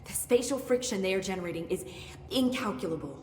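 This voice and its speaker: A woman's voice: mid-range voice, lively intonation, fast, a little mellow, close to an everyday voice. Actress doing an earnest science lady in a video game, careful and urgent, echoey with faint noise. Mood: analytical, grave. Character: earnest, analytical, cautious female research scientist. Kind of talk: video game cutscene acting.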